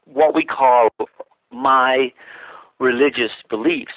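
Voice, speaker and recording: A man's voice: medium pitch, slow pace, no echo. Man on a tinny phone line. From Ireland. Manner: thoughtful, with frequent restarts and filler words. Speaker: guest. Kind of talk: reflective explanation on a talk show.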